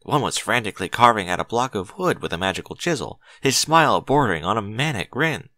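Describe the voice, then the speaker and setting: A man reading a story as an audiobook narrator, with measured, theatrical phrasing and strong emphasis. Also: lowish voice, animated, pushed and bright, no room sound. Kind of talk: dramatic scripted story narration.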